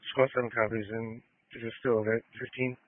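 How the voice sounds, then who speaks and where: Fast-talking male responder on tinny radio. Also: mid-range voice, little pitch movement, dry recording. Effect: two-way radio. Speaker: emergency responder. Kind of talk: clipped radio traffic. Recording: narrow, tinny scanner radio transmission.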